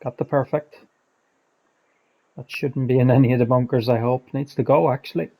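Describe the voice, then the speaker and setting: Gamer with a flat, mellow voice. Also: male voice, mid-range voice, moderate pace. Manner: chatty, self-critical play-by-play. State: losing his voice, clearing throat. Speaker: gaming channel host. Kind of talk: gameplay commentary.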